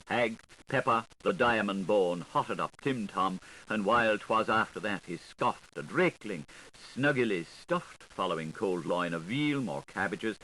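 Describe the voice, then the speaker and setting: Expressive man reading with faint hiss. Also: poor audio. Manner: lilting, highly varied expressive delivery. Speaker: audiobook reader. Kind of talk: literary audiobook reading. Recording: faint tape hiss, 1980s recording.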